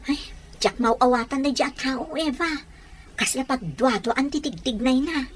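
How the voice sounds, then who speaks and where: An actress playing an anxious, wary household helper who speaks earnestly and respectfully in a radio drama. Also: female voice, high-pitched, measured pace, husky. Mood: worried, confiding.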